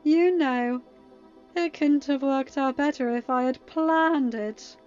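A woman voicing a smug, taunting young male villain in an audiobook-style story, with a sneering, deliberate manner. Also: sits high, a little mellow, highs cut off, faint music behind, voice pushed up.

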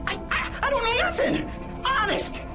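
Cowardly snitch, very high whiny voice, swooping pitch, stammering pleas, game cutscene. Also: male voice, expressive, moderate pace. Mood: panicked pleading. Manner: stammering, pleading. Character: terrified, whining male lowlife informant. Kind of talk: video game cutscene acting. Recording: loud music behind the voice.

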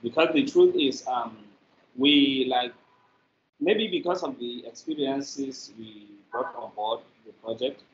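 A man's voice: medium pitch, slow. A middle-aged man with a Nigerian accent giving a conversational interview answer, hesitant and in broken phrases. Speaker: media producer, interview guest. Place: echoey indoor room. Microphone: video call. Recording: echoey, noisy, dull video-call audio.

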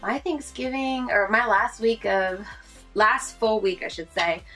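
A woman's voice: fairly high pitch, measured pace, sharp tone, faint music behind, rough recording. A young woman with a stuffy, congested voice, chatting casually and rambling with fillers as she does a makeup tutorial at home, close to the camera. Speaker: beauty vlogger. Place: small room at home, indoors.

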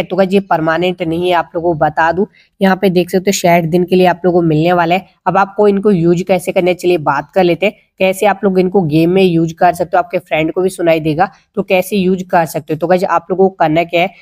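A man's voice: sits high, darkish tone. A man with an Indian accent giving a casual, instructional gaming tutorial voice-over, talking to viewers like friends. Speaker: gaming video creator.

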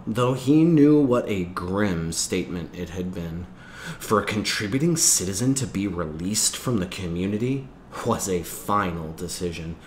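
A man reading a novel aloud as a teacher, in expressive storytelling narration. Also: sits low, slight background noise. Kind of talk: expressive audiobook-style narration.

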